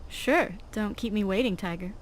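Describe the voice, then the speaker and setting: Woman as a flirty game character, coy, teasing, mid-pitched, noisy ambience. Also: normal speed, close to an everyday voice. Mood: flirty, playful. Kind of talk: video game voice acting.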